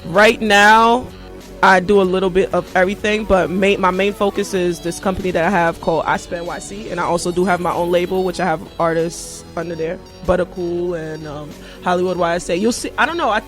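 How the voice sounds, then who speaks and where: A woman guest who owns a record label, talking casually and confidently about her work. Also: lowish voice. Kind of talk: casual interview chat.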